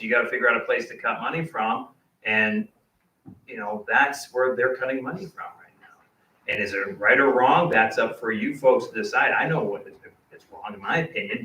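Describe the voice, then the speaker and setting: A man's voice: mid-range voice, sharp tone, a little hiss or hum. A man on a panel of state legislators, answering questions casually and plainly at a public forum. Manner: casual, plain-spoken, opinionated. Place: community meeting hall. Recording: roomy hall, distant mic.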